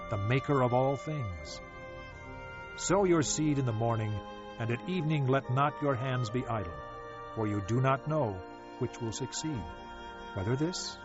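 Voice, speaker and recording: A man playing a solemn, reflective ancient sage who declaims scripture in a measured, expressive way. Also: mid-range voice, lightly acted. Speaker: audio Bible reader. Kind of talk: dramatized scripture reading. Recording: dull, lo-fi audio under music. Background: music.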